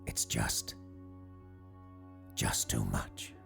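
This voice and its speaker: A man's voice: drawn-out words, music in the background. A man voicing a troubled, plain-spoken working man giving weary, defensive testimony in an audio drama. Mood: weary, haunted. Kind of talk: quoted testimony within audio drama narration.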